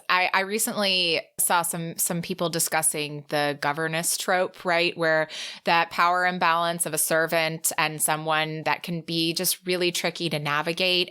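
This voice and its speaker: A woman's voice: on the low side, pushed and bright. A woman co-hosting a casual book podcast, thinking aloud a little tentatively and repeating words as she goes. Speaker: podcast co-host.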